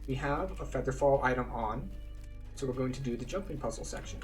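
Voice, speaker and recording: A man's voice: mid-range voice, brisk, faint music behind. A man giving casual, explanatory commentary on a game walkthrough. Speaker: game streamer.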